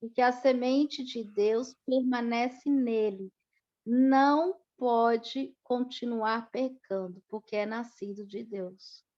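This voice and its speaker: A woman, a student in a Bible class, reading a verse aloud slowly and carefully. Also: on the high side, darkish tone, dull audio.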